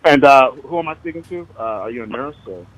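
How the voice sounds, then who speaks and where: A man's voice: medium pitch, lively intonation, brisk, a bit bright. An American man speaking as a caller on a phone, in a hesitant, wordy but insistent way. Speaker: caller, citizen activist. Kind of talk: recorded phone conversation. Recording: dull lo-fi phone call recording.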